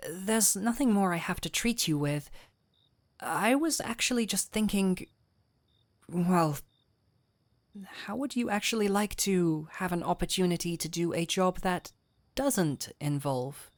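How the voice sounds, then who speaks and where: Slow, low-voiced gentle fantasy physician played by a woman. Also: no echo. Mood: gentle, hesitant. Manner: gentle, unhurried, soothing. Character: gentle, caring fantasy physician woman, patient and softly teasing. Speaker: audio roleplay voice actor. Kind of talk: intimate scripted audio roleplay.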